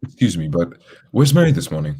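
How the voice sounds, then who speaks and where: A man playing a composed, courteous gentleman detective in a radio drama, with formal, polite wording. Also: low-pitched, big pitch swings, brisk, husky, full character voice. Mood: brisk, thoughtful.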